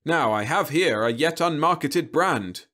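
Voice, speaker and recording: A bright, oblivious robot salesman, as a man puts him on chirps his patter high and loud. He sounds relentlessly cheerful and mechanical in his eagerness, a storybook machine that never stops selling. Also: lively intonation, measured pace. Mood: chirpy.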